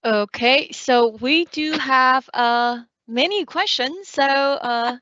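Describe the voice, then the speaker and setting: A woman's voice: sits high, slow pace, fairly bright tone, dry recording. A woman moderating a training webinar and reading out audience questions, hesitant with fillers. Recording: dry, muffled webinar audio.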